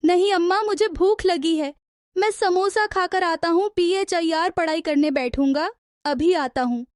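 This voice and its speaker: A woman's voice: very high-pitched, measured pace. A woman voicing a hungry village boy who pleads with his mother and a shopkeeper for samosas. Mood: pleading. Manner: whiny, pleading. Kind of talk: cartoon voice acting.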